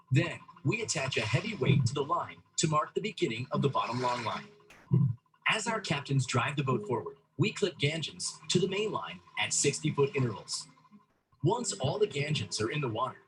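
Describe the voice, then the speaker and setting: A man reading scripted narration for an explainer video in a steady, explanatory way. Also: mid-range voice, background noise.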